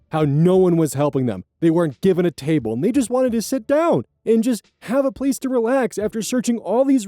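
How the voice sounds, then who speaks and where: A man narrates online stories as host, his pitch sitting a bit high and swinging widely. His tone is mellow and dark rather than bright, and the sound is dry and close. He breaks off into blunt, profane commentary. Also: no room sound. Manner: animated, blunt and profane in asides. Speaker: host reading Reddit stories. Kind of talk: story reading with casual commentary.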